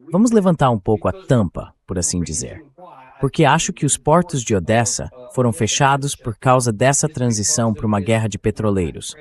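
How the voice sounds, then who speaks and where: A man hosting a political discussion in a translated voice-over. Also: on the low side. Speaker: host.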